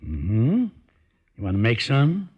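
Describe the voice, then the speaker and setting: An actor playing a sly, coaxing small-time crook in an old-time radio drama, with a husky, wheedling voice. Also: male voice, mid-range voice, wildly swooping pitch, slow, heavily acted. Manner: wheedling, teasing drawl. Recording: dull, lo-fi old radio recording.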